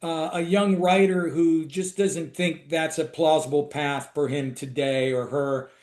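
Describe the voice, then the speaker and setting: A man's voice: on the high side, steady tone, unhurried, darkish tone, echoey room. A man hosting a podcast interview, casually stepping in to clarify things for listeners and laughing along. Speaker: podcast host.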